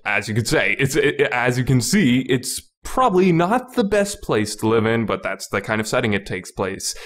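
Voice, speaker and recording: A man's voice: mid-range voice, big pitch swings, normal speed, fairly bright tone. A man giving a casual, unscripted review as a podcast host, rambling and often repeating or correcting himself. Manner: rambling, repeats phrases for emphasis, self-correcting. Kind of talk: casual unscripted video game review commentary.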